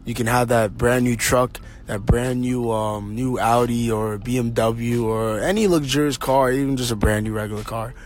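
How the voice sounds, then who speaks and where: A man with a slightly hoarse voice hosting a motivational podcast, speaking off the cuff in a halting, rambling, informal way straight to his listeners. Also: mid-range voice. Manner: halting, rambling, thinking aloud; informal, addresses listeners directly. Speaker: podcast host. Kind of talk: motivational monologue, casual and unscripted.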